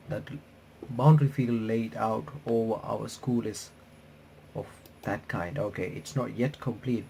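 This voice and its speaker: A man's voice: on the low side, creaky, dark tone, a little hiss or hum, rough recording. A man hosting a game playthrough, reading the text aloud with casual reactions and stumbling over words as he goes. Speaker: host of game reaction videos. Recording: faint music behind the voice. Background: game music.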